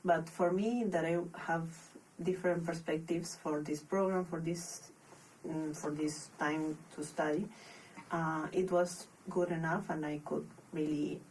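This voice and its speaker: A young woman from Peru with a Peruvian Spanish accent, a podcast guest speaking English as a second language, thoughtful and pausing often to restart her phrases. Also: low-pitched, level tone, a little mellow, distant mic, a little hiss or hum, rough recording. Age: young adult. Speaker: podcast guest, master's student. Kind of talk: casual interview.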